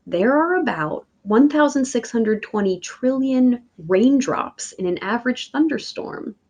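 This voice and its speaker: A woman reading a picture book aloud like a teacher, with deliberate, emphatic phrasing for young listeners. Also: on the high side, expressive, a little mellow. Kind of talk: children's read-aloud.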